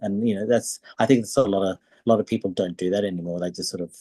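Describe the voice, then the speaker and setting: An older Australian man with a low, husky voice, recorded close and dry. He talks as an interview guest, reminiscing in an anecdotal, conversational way about a performing life. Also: fairly even intonation, quick pace, mellow, no room sound.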